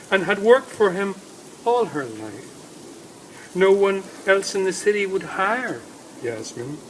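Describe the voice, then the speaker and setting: A man reading a story aloud outdoors in a dramatic, deliberate manner, with emphatic phrasing and pauses. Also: high voice. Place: outdoors in woodland. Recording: faint outdoor background noise. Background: faint woodland ambience.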